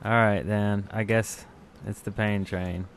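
A man's voice: fairly low pitch, flat delivery, a little slow, clean tone, no echo. A man co-hosting a gaming podcast in casual, conversational chat. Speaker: podcast co-host.

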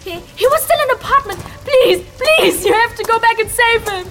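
A woman playing an informal, excitable young outsider in a fantasy audio drama. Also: extremely high pitch, moderate pace, loud music behind the voice. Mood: shaken, distraught.

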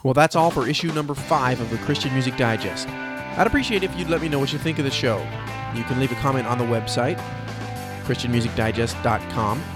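Casting: man, average pitch, scripted podcast host read, strong music bed. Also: a little fast. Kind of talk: scripted show sign-off narration. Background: loud guitar music.

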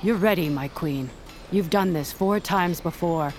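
A woman's voice: lowish voice, measured pace, slight background noise, natural voice. A woman playing a steadfast sworn bodyguard to a queen in an audio drama, formal and deferential. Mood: solemn, devoted. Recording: dramatic music behind the voice.

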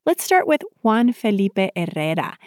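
A woman's voice: medium pitch, very animated, normal speed, a little mellow, no room sound, clean studio sound. A woman with an American accent presenting a scripted public radio segment as its host.

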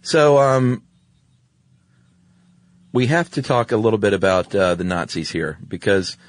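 A man's voice: lowish voice, animated, measured pace, no room sound. An American man chatting informally as a podcast co-host, with a laid-back way of talking. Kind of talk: casual chat.

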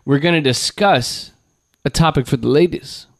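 Podcast guy rambling and cussing, joking around, voice a bit high, dry close mic. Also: moderate pace, vocal fry, dry recording. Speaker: podcast co-host. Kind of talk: casual podcast chat.

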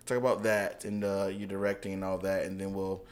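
A man's voice: deep voice, little pitch movement, fairly quick. Upbeat male podcast host. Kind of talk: casual interview chat.

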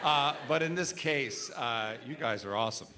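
A man with a loud, carrying voice talking conversationally as a guest commentator before a live audience. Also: medium pitch, normal speed, very noisy. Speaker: radio show guest and political commentator. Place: large room with a live audience. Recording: reverberant live stage hall.

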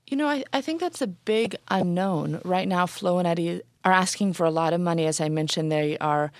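A woman journalist, a guest on a radio show, explaining a legal matter clearly and briskly. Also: fairly low pitch, no room sound. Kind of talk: radio interview answers.

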